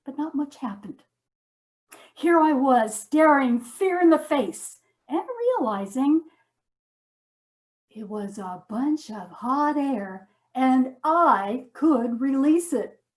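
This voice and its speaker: A woman with a high voice delivers a theatrical first-person monologue. Her pace is unhurried, with deliberate pauses. She plays the comedy with dramatic mock solemnity. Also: animated. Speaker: stage performer.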